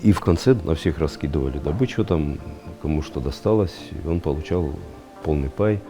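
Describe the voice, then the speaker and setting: A man's voice: a bass voice, expressive, moderate pace, over music. A man speaking as an expert in an interview, explaining things in unscripted talk.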